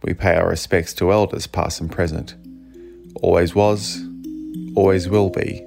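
A man's voice: sits low, steady tone, unhurried, gravelly, a little mellow, soft background music. A man with an Australian accent, reading a scripted podcast announcement. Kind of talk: scripted acknowledgement read.

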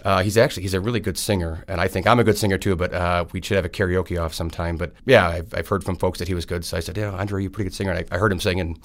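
A man's voice: low-pitched, fairly even intonation, racing, few pauses. A man in his thirties from Wisconsin, answering interview questions in a friendly, rambling way with many fillers. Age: 30s. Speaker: state senator.

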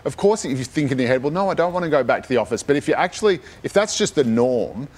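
A man's voice: mid-range voice, animated, fast, darkish tone. An Australian man giving his opinions in a panel discussion, speaking colloquially and emphatically. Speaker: radio panellist.

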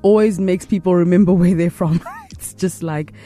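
A woman's voice: on the low side, steady tone, moderate pace, warm tone, soft background music. A woman interviewing a guest in a casual, chatty way, full of fillers and restarts. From family from Uganda.

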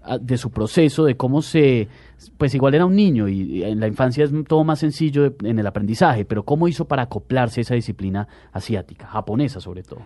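Man, host, asking questions, mellow voice, dry sound. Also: mid-range voice, animated, quick pace, no echo. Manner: asks questions, laughs along. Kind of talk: casual interview.

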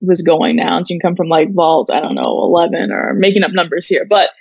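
Lower-pitched woman, dry close sound. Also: steady tone, brisk, darkish tone, husky, no echo, muffled recording. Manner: informal, thinking aloud, tentative phrasing. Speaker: co-host of an entertainment news live show. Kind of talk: casual explanatory chat.

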